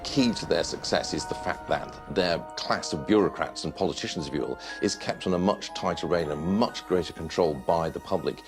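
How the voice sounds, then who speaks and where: A very deep-voiced man speaks deliberately in a documentary interview. Clear music plays beneath his words. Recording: documentary clip with loud music behind.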